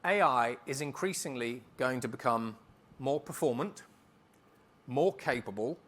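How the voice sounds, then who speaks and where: A man speaking as a keynote speaker, answering on stage in a conference hall in a brisk, emphatic way and rattling off lists. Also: medium pitch, fairly bright tone.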